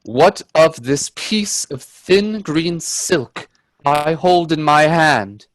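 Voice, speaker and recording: A man's voice: a little high, expressive, very slow, dry recording. An actor playing a man who doubts and broods over a strange tale in a radio play, with dramatic questioning. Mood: incredulous, wondering.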